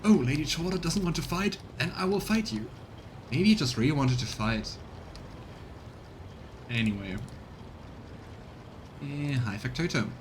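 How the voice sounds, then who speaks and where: A man's voice: fairly high pitch, unhurried, long pauses, noisy background. A man giving casual let's play commentary, musing aloud and reading game text out as he streams. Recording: game music behind. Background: video game music.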